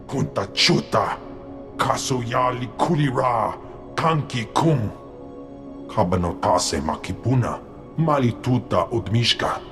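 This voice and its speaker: A man's voice: mid-range voice, animated, slow pace, music in the background. A man voicing a blustering, threatening alien thug in a video game. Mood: menacing. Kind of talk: cinematic video game dialogue in invented alien language.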